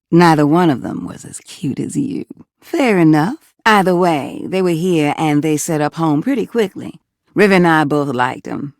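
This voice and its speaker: Game role: sassy, nurturing older Southern belle of a water heater; low, very animated, teasing and folksy. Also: female voice, vocal fry, no echo, studio quality. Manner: teasing, folksy, full of endearments. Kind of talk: video game character dialogue.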